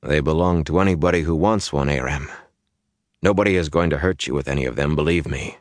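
Man reading as a blunt, steady young man. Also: rumbling low, brisk, dry recording, clean studio sound. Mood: reassuring. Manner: blunt, firm. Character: gruff, protective young man, plain-spoken and steady.

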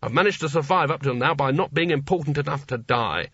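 A man's voice: fairly high pitch, very animated, moderate pace, no echo, muffled recording. A man voicing a gloomy, weary, cowardly failed wizard in a storybook, dry, sardonic and irritable. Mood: snapping, bitter.

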